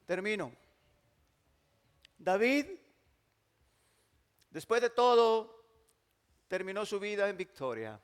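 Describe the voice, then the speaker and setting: A male pastor, preaching emphatically and moving from loud declarations to hushed, drawn-out phrases. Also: high voice, big pitch swings, clear, resonant tone. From Mexico. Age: 60s. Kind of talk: sermon. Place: large church hall. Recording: long church hall reverb.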